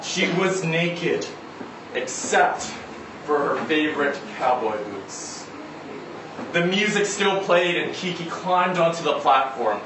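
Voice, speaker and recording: A man giving a dramatic live reading of a short story, with measured, deliberate phrasing and pauses. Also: on the high side. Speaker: story reader at a live literary event. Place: indoor event venue before a live audience. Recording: echoey room, distant mic, noisy background.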